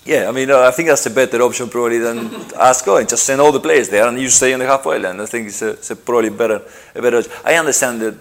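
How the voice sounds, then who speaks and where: A middle-aged man from Argentina answering interview questions, halting and repeating himself, with lots of "you know". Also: mid-range voice. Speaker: interviewed footballer.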